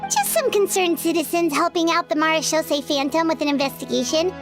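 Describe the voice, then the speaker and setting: A woman voicing a small, childlike fairy companion in a video game, chirpy and cheeky with a squeaky tone. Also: expressive, brisk, music in the background. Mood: polite, chirpy. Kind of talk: video game character acting.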